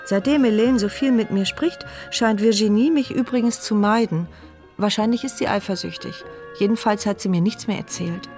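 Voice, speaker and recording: A woman's voice: mid-range voice, a little mellow, soft background music, natural voice. A woman voicing a paralysed young narrator. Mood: hopeful. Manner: reflective, measured. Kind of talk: inner monologue narration.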